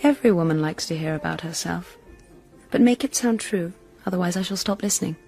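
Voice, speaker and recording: A woman's voice: lowish voice, brisk, creaky, warm tone, faint music behind. An actress playing a proud, self-willed, provocative kept woman in a radio drama, candid and coolly flirtatious. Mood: frank, flirtatious.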